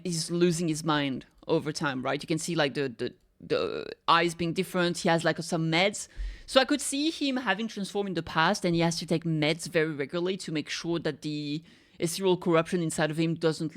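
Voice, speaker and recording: A woman giving casual reaction commentary, thinking aloud and often checking for agreement with little tag questions. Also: low voice. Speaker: reaction video host.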